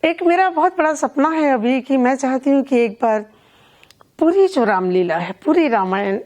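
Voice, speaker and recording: An older woman being interviewed as a poet and event organiser, speaking in a measured, earnest, deliberate way. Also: high-pitched, expressive, darkish tone, highs cut off. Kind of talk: reflective conversational interview.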